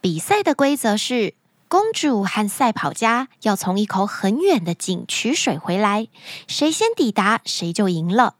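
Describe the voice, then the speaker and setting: A woman hosting a children's story show, speaking to young listeners in an animated, expressive storytelling manner. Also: medium pitch, a little slow, dry recording, clean studio sound. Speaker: children's story podcast host.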